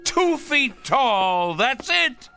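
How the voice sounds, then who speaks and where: A man's voice: very high-pitched, big pitch swings, drawn-out words, creaky, pushed and bright, full character voice. A man acting in a radio drama as a self-righteous, ranting crank obsessed with punishing evil. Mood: manic glee. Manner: pompous, ranting, theatrical. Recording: radio drama with music underneath.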